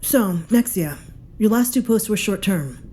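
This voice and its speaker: A woman's voice: medium pitch, lively intonation, measured pace, mellow, soft background music, close to an everyday voice. A woman voicing a detective chief inspector who questions suspects curtly. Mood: interrogating. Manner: curt, questioning.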